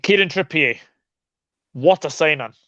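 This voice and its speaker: A man hosting a casual football chat, relaxed and reading out viewer comments. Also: fairly high pitch, unhurried, no room sound. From Scotland. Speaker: host. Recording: dry, dull lo-fi mic.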